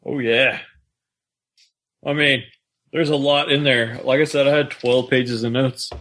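A man's voice: mid-range voice, fairly even intonation, unhurried, fairly bright tone, slight background noise. A man co-hosting a podcast, chatting casually as a fan about a story. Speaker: podcast co-host.

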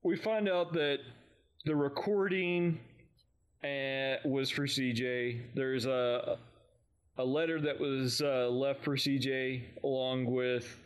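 A man with a medium-pitched voice co-hosts a fan podcast. He talks through a story recap casually and informally. He sometimes hesitates as he thinks aloud. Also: fairly bright tone, smooth. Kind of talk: casual recap discussion of an audio drama.

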